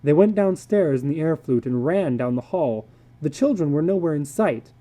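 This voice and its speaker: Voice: mid-range voice, animated, moderate pace, mellow. A narrator giving a dramatic reading of a short story.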